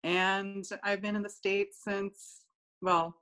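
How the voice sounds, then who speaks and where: A young woman from Pakistan speaking as a guest over a home webcam. She answers in an easy, relaxed way and laughs often as she talks. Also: medium pitch, slow pace. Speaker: guest designer. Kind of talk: casual video-call interview. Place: home room, indoors. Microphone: video call, webcam.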